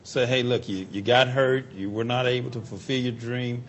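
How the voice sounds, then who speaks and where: A man's voice: medium pitch, steady tone, normal speed, slight background noise. A middle-aged man hosts a local TV interview, folksy and affirming, often repeating himself. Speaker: local TV show host. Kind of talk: public access interview.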